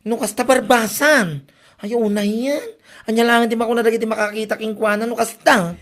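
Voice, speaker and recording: A woman's voice: on the high side, steady tone, a little fast, lightly acted. A woman playing an exasperated, scolding husband in an Ilocano comedy drama, with an Ilocano accent. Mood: embarrassed, scolding. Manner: scolding, exclamatory.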